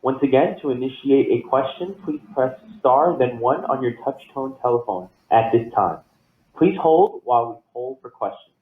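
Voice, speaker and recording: A man's voice: mid-range voice, expressive, normal speed. A man working as a conference call operator, giving scripted instructions in a polite, formulaic way. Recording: tinny phone line, roomy echo.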